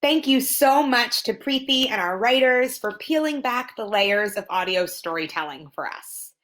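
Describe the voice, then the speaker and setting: A woman hosting an event in an upbeat scripted style, with excited, theatrical emphasis. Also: fairly high pitch, normal speed. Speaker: event host.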